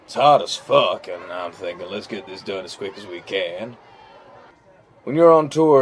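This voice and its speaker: A man's voice: on the low side, darkish tone, lightly acted. Man acting a plain-spoken war veteran. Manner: reflective, conversational, halting pauses, plain-spoken. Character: weathered American army veteran, once a hot-tempered youth, earnest. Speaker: actor in a fiction audio drama. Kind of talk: dramatic first-person monologue, audio drama.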